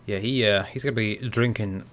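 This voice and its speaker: A young man doing casual, chatty live commentary on a fighting game from a small room at home, shouting out reactions and laughing with viewers. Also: fairly low pitch, steady tone, normal speed, soft background music. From half Cypriot. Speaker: gaming streamer.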